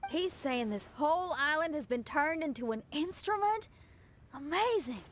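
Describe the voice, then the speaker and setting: A woman's voice: sits high, expressive, moderate pace, slight background noise. A woman playing a friendly, easygoing young musician who is encouraging, in a video game. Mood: upbeat.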